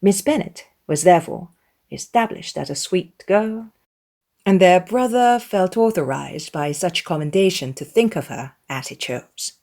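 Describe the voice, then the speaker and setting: Audiobook narrator: woman, somewhat low register, scripted reading of classic literary prose. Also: lively intonation, unhurried, darkish tone.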